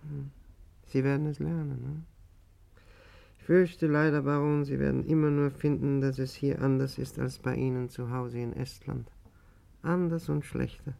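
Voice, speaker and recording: An actor playing a weary, wise older exile, measured and gently resigned, in a 1950s German radio play. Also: male voice, medium pitch, flat delivery, vocal fry, close to an everyday voice. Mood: gently probing.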